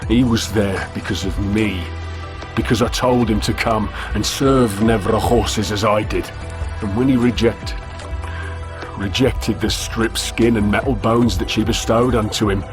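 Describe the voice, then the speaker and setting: An actor with an African accent playing a solemn, sorrowful, devout man in a video game, speaking gravely and formally. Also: on the low side, close to an everyday voice. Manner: grave, measured, formal speech. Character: solemn, sorrowful devout stranger grieving his enslaved brother. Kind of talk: video game character acting.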